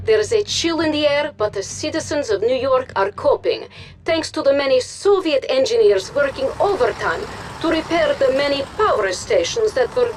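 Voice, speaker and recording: A woman's voice: a little high, slight background noise, lightly acted. A woman playing a polished Soviet propaganda television anchor, reading the news in a formal announcer manner in a video game. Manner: formal, crisp announcer delivery. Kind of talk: scripted TV newscast in a video game.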